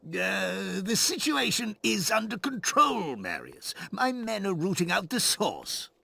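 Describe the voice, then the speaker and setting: A man's voice: high-pitched, huge pitch swings, slow, creaky, sharp tone, slightly rough, no room sound, full character voice. An actor playing a fat, pampered and pompous male governor in a game cutscene, whiny in manner. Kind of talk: video game cutscene acting. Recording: game audio with music behind.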